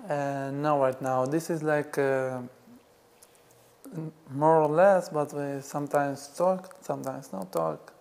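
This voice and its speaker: Hesitant man, gravelly, halting English. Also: fairly high pitch, steady tone, a little mellow, slight background noise. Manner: halting, broken English with many fillers. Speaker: interview guest. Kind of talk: casual chat.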